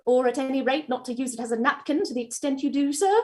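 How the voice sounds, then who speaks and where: A woman's voice: high-pitched, fast, dark tone, lightly acted. A woman playing a prim, respectful but firm housekeeper, deferential and insistent, in a staged play reading.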